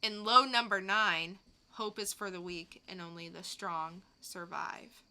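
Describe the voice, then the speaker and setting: A female podcast co-host with a very bright, sharp voice. She reads scripted teasers slowly and with measured delivery. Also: on the low side, steady tone, smooth.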